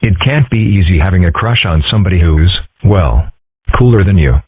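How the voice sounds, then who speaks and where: Man as a husky, deadpan cartoon boy. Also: low voice, fairly quick, no echo. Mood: dry. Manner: deadpan, formal wording. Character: calm, deadpan, philosophical eight-year-old cartoon boy. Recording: tinny, phone-like audio.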